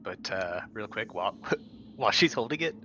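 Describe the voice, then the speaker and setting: A man playing a tabletop roleplaying game, speaking casually and deliberately, partly in character. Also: lowish voice, lively intonation, bright, forceful tone, highs cut off, a little hiss or hum.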